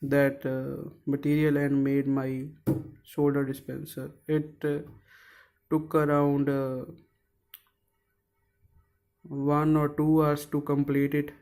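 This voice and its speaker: Slow, halting man. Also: fairly high pitch, completely flat delivery, a little mellow. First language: Punjabi. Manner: hesitant, searching for words, many fillers. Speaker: DIY maker. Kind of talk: casual how-to narration.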